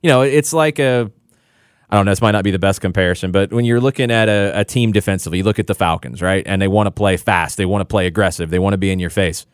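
A man's voice: sits low, quick pace, clear, resonant tone, dry recording, clean studio sound. A man analyzing soccer as a guest on a radio sports talk show, explaining tactics confidently.

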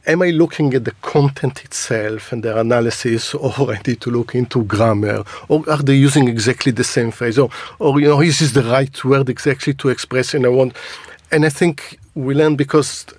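A middle-aged man, a native Hebrew speaker and university lecturer, talking in a radio discussion with many halting restarts. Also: medium pitch, a little mellow, dry recording. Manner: hesitant, many restarts and repeats.

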